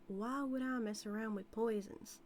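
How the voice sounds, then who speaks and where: A woman's voice: on the high side, fairly even intonation, moderate pace, a little hiss or hum, close to an everyday voice. A woman playing a flirty, dominant outlaw cowgirl with a Southern US accent in a scripted audio roleplay, drawling and teasing. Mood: teasing, sly. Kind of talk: scripted audio roleplay, intimate character acting.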